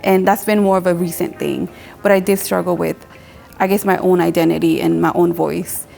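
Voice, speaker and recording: Gravelly-voiced woman with soft music. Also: on the low side, a little fast. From Mexico. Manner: relaxed, rambling, frequent "like" fillers. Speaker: tattoo artist and painter. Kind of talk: casual interview monologue.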